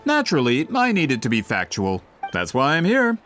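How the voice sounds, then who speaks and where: An actor playing a self-important, persuasive wealthy publisher in a video game, with polished speech. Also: male voice, mid-range voice, huge pitch swings, a little fast, fairly bright tone, soft background music.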